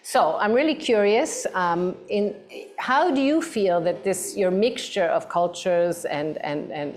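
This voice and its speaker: A woman's voice: on the low side, darkish tone. A woman moderating a design talk for students, hesitant, with many fillers and repeated words. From mixed background.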